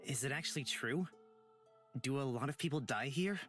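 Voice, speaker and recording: Man voicing young male outcast, earnest, hesitant, game dialogue, medium pitch, distinct background music. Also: normal speed, a bit bright, lightly acted. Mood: uneasy. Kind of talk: visual novel voice acting. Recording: clear music behind the voice.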